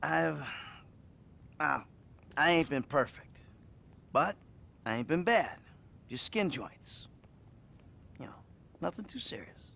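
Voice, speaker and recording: Video game role: middle-aged American crook in therapy, sighing, self-loathing and wry, with fry in the voice and lively intonation. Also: male voice, a little high, takes it slowly, pauses often, full character voice. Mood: sheepish. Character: troubled middle-aged male criminal confessing to his therapist. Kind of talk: naturalistic video game acting.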